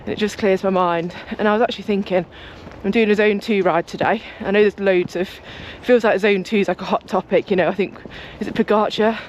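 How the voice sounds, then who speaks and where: A young woman talks to a handheld camera, rambling in easy vlog chat. Her voice is slightly croaky, at a middle pitch, with a faint hiss of noise behind her. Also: fairly even intonation, brisk, hardly any pauses. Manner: chatty, rambling, talks a lot. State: croaky just-woken voice. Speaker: cycling vlogger. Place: home, then outdoors on a walk.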